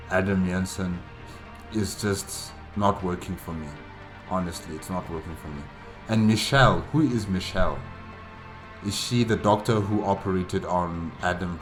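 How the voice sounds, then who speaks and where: A man hosting a solo reaction video, talking casually and haltingly, repeating himself for emphasis. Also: low voice. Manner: halting, repetitive, emphatic about doubts. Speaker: reaction channel host.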